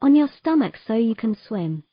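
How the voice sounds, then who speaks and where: A woman playing a patient, gently commanding mermaid in a romantic fantasy audio drama, giving measured, encouraging instructions. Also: on the high side, very animated, dry recording, lo-fi sound. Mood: coaxing.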